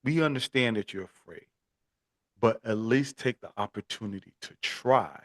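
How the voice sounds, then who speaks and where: A man with a fairly low voice talks earnestly in a casual interview, often doubling words as he gets going. The sound is dry and close, with no room around it. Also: normal speed, no echo. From Miami, Florida. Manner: earnest, repeats words when starting sentences. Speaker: podcast guest, equine therapy expert.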